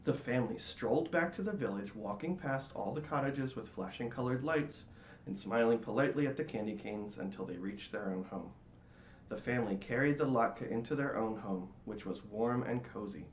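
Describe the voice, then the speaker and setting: A man giving a dramatic, theatrical reading of a children's storybook in measured phrases. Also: fairly low pitch, roomy sound, highs cut off, slight background noise.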